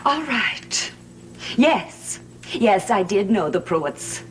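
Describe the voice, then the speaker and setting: Slow, guarded, indignant woman. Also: medium pitch, big pitch swings, a little hiss or hum. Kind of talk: 1960s gothic soap opera acting.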